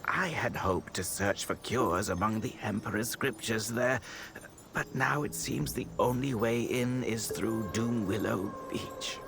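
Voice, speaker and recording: Slow, solemn, creaky-voiced healer. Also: male voice, lowish voice, background noise. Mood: pensive, cautioning. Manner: solemn, measured, formal old-fashioned phrasing. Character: grave, scholarly male healer lamenting the sick. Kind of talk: video game cutscene acting.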